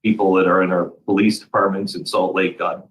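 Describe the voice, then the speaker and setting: A man's voice: low-pitched, flat delivery, brisk, rough recording. Earnest, urgent man on a talk show. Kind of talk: political talk show commentary.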